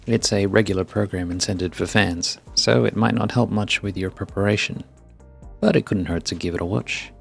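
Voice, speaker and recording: Mild advisor character for a game, read by a man: measured, helpful, low register, soft music behind. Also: gravelly. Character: mild, helpful game ally offering advice. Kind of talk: scripted game dialogue read aloud.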